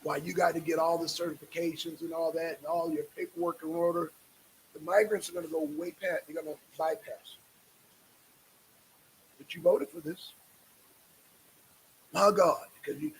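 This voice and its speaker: A man's voice: fairly high pitch, fairly even intonation, moderate pace, long pauses, slight background noise, poor audio. A man hosting a casual live stream, speaking in a repetitive, emphatic and exasperated way.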